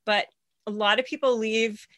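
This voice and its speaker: A woman's voice: fairly high pitch, steady tone, normal speed. A woman co-hosting an interview, enthusiastic in casual chat and often repeating her first words. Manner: warmly enthusiastic, stumbling over repeated words. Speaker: co-host.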